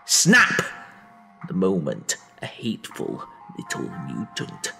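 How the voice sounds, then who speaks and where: A man narrating a dramatic audio story in a theatrical, brooding manner, with heavy pauses. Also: deep voice, huge pitch swings, vocal fry, bright, forceful tone, soft background music.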